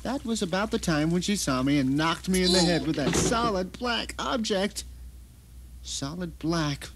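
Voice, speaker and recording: A man plays a bitter, vindictive captive telling his own story in an audio drama, in a notably high male voice. The delivery is melodramatic and sardonic, each phrase placed deliberately, with a faint rain-like noise behind it. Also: animated. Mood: baffled, angry. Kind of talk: dramatic first-person audio drama monologue.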